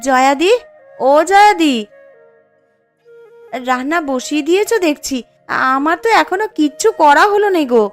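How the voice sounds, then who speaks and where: A polite, needy village housewife as a woman acts her in a cartoon. She speaks in a high voice, pleading and deferring to her elder. Faint music runs behind. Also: lively intonation, normal speed, pauses often. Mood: calling out. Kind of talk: cartoon character dialogue.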